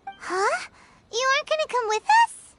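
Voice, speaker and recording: Tiny cutesy fairy companion, squeaky and swooping, for an animated game role. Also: female voice, measured pace, heavy background noise, exaggerated voice. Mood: puzzled. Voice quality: squeaky, childlike. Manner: refers to self in third person, cutesy. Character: tiny chirpy childlike fairy companion, blunt and excitable. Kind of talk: video game character acting. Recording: game music behind voice.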